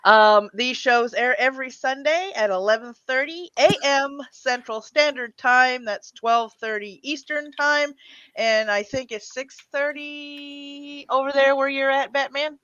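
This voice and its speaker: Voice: sits high, unhurried, sharp tone, no room sound, highs cut off. A livestream host chatting casually and giving announcements in an informal, good-humored way, joking with panelists. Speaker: stream host.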